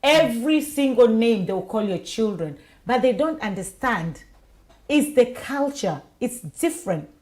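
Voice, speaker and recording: A woman hosting a casual panel talk, telling stories with lively acted-out voices. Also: on the high side, a little slow. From Kenya. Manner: animated storytelling, acts out voices. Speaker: talk show host.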